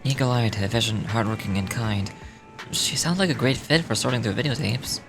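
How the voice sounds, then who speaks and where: A woman voicing a calm, wry young male video store manager, reading game dialogue in a measured way. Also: extremely low pitch, voice pushed down. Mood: businesslike. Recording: music behind the voice. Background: game music.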